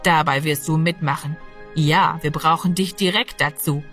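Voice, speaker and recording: A woman's voice: deep voice, fairly even intonation, normal speed, a bit bright, faint music behind. A woman voicing a menacing, gloating man who bullies and threatens a boy in an audiobook. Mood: threatening. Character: menacing, gloating adult male villain bullying a boy. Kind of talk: audiobook character voice.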